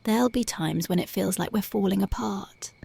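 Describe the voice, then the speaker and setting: A woman voicing a tender, dreamy young punk girlfriend in an ASMR roleplay, speaking softly and often slipping into whispers. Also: medium pitch, normal speed, vocal fry, husky. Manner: soft, often drifting into whispers. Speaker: ASMR voice actress.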